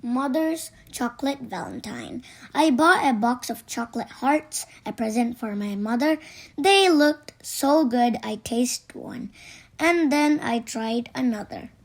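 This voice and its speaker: A woman reading children's poems aloud from a storybook in a deliberate way. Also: on the high side, sing-song. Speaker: storybook reader. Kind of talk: read-aloud children's poetry.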